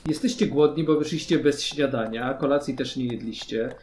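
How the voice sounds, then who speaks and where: A man's voice: mid-range voice, normal speed, darkish tone. Man game-mastering in a roomy space. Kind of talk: narrating a tabletop fantasy role-playing game. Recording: roomy, faint background noise.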